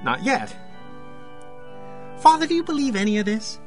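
Hesitant, lively young man, acted in audio drama. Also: sits high, moderate pace, soft background music. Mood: earnest. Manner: hesitant, stammering. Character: awkward, earnest young male photographer, hesitant romantic.

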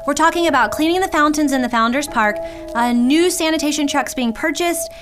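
A woman's voice: high voice, brisk, music in the background, natural voice. A woman playing a businesslike town official in an audio drama, speaking matter-of-factly. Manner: matter-of-fact. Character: businesslike female town official reading agenda.

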